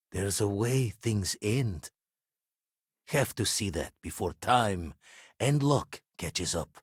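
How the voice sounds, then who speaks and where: An actor playing an older retired space pirate turned astronomer in a video game, wry and reflective, with clipped, folksy phrasing and a husky voice. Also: male voice, medium pitch, dry recording, studio quality. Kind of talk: video game character voice acting.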